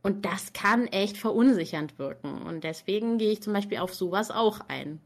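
Young woman, author and reading coach, casual livestream chat, chatty, laughs often, self-mocking, medium pitch. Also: animated, normal speed. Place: warm room at home.

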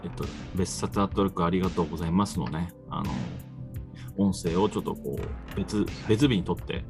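A man's voice: low voice, a little fast, creaky, music in the background. A man chatting casually as the host of a radio show. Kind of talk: casual chat.

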